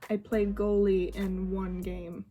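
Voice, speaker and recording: A woman's voice: medium pitch, level tone, takes it slowly, narrow, tinny audio. A young woman from Sweden, a native Swedish speaker, chatting casually in English while getting ready, with lots of fillers and laughs. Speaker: vlogger. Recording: faint music behind.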